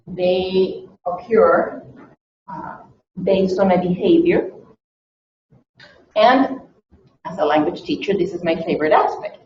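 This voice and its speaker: A woman's voice: lowish voice, slow, pauses often, slight background noise. A woman from Chile, a language teacher, lectures on bias. She explains point by point and often checks in with "okay?". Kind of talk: workshop lecture. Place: meeting room. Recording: distant, echoey, dull room recording.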